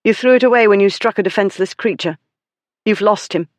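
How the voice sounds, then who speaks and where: A man voicing a spirited, sharp-tongued genteel lady with an insistent, crisp manner in an audiobook story. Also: sits high, brisk, no echo, pitched above the performer's own voice, lightly acted. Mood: indignant.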